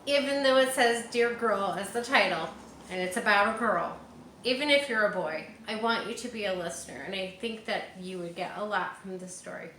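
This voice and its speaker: Woman, children's storyteller, bright voice, encouraging, casual asides, echoey room, faint background noise. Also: mid-range voice, moderate pace. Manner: encouraging, speaks directly to young listeners. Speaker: storyteller reading a picture book to children.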